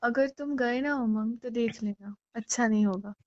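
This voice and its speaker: A woman's voice: on the high side, moderate pace, lightly acted. A woman playing a jealous, insistent young girlfriend in a romantic phone-call roleplay, sulky and pleading in a casual conversational way. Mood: annoyed, threatening. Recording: dull lo-fi phone call recording.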